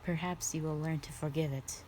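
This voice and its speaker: A woman playing a stern, calm, duty-bound dragon guardian, speaking formally and solemnly in a scripted roleplay audio drama. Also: deep voice, slight background noise, lightly acted, rough recording. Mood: quiet, regretful. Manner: measured, solemn, formal phrasing.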